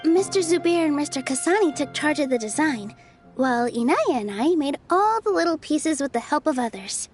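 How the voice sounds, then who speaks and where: Gentle young dancer girl, light high voice, encouraging and kind; game music underneath. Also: big pitch swings, normal speed. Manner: warm-hearted and encouraging, softly coaxing. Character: gentle, cheerful young female theater dancer. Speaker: theater dancer. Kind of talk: game cutscene voice acting.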